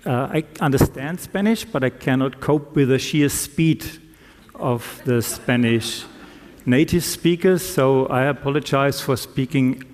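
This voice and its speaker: Slow-talking non-native speaker at a lectern. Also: male voice, mid-range voice, darkish tone. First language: not English. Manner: slow, deliberate, self-deprecating humor. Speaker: conference speaker. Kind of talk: conference talk. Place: conference hall. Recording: reverberant conference hall.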